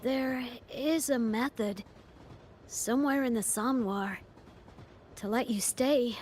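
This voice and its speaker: Slow, gentle mysterious guide, noisy ambience. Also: female voice, a little high. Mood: gentle, solemn. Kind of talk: video game story dialogue.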